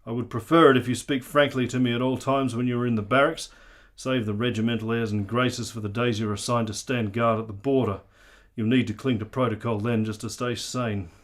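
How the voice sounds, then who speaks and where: A man's voice: mid-range voice, fairly even intonation, brisk, few pauses, close to an everyday voice. World-weary army colonel, played by a man. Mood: wry, commanding. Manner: authoritative, wry, informal candour. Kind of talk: radio play acting.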